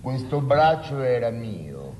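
A man's voice: medium pitch, big pitch swings, slow, a little mellow, slight background noise. An actor playing a haughty, scornful male guardian in a theatrical radio drama, declaiming in mocking, old-fashioned phrases. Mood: indignant, scornful. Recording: echoey hall, distant, music behind.

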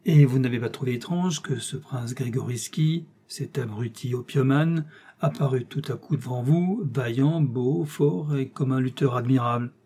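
A man's voice: medium pitch, normal speed, mellow, slightly rough. A man voicing a composed, polite investigator who puts formal, probing questions in an audiobook. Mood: pointed, polite. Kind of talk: audiobook character dialogue.

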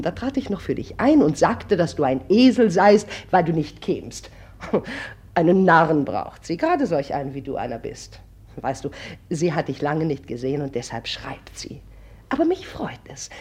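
An actress playing a domineering middle-aged general's wife and mother in a German radio drama, scolding and exclaiming in a husky, theatrical voice. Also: fairly low pitch, very animated, normal speed, a little mellow, heavily acted. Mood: agitated scolding.

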